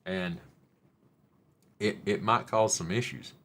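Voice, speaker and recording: A middle-aged man with a drawl chats loosely through his live stream. He rambles, jokes and swears now and then, in a fairly low voice. A faint hiss of noise sits under him. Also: slow pace, fairly bright tone. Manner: rambling, joking, mildly profane. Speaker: livestream host. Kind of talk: casual live-stream chat.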